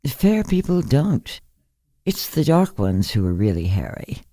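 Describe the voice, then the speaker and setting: A man voicing a very old, bedridden woman in a literary story, frank and musing, with gentle wryness. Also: medium pitch, sing-song, unhurried, dry recording. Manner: musing, candid, gently superior. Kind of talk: literary story character reading.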